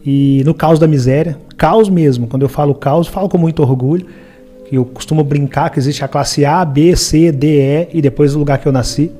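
A man's voice: fairly high pitch, normal speed, warm tone, faint music behind. A man in his forties with a Minas Gerais Brazilian Portuguese accent, telling his life story as a podcast guest, earnestly acting out the quoted voices of others. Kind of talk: personal life story told in a podcast interview. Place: studio with brick wall and purple lights. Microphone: studio microphone on a boom arm.

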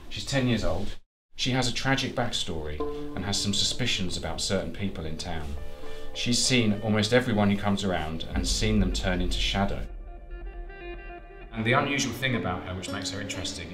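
A man's voice: lowish voice, a little fast. Male British workshop leader, encouraging playwright addressing youngsters in a roomy hall over music. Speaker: playwright leading drama workshops. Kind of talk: workshop teaching to young people. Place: echoey school halls and classrooms. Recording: echoey room, distant mic, music behind.

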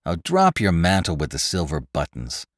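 A brusque, impatient cloth merchant cutting in on the talk, read by a male narrator. His lively voice sits in a dry, close recording. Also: sits low, normal speed, no echo.

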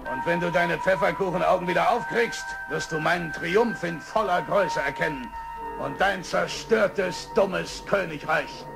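An actor voicing a vengeful animal villain in a children's radio play, gravelly, theatrical and menacing. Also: male voice, high-pitched, unhurried, heavily acted. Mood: gloating. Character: vengeful, gloating animal villain, bitter outcast boss. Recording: vintage radio play with music underneath.

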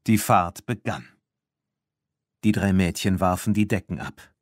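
A man's voice: low voice, measured pace, no echo, studio quality. A man narrating a scripted children's story as an audiobook reader.

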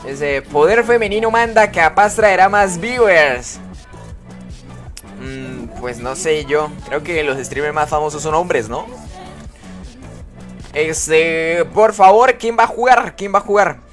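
A man's voice: fairly high pitch, takes it slowly, a bit bright, soft background music. Chatty male streamer greeting viewers. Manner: chatty, greets viewers and reads chat aloud. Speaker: live streamer playing with followers. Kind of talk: casual live-stream chat.

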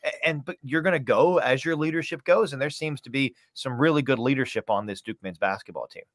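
Male sports guest, thirties, conversational and emphatic, medium pitch, dry video-call sound. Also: brisk, no echo. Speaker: sports play-by-play announcer, podcast guest. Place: small home room, video call.

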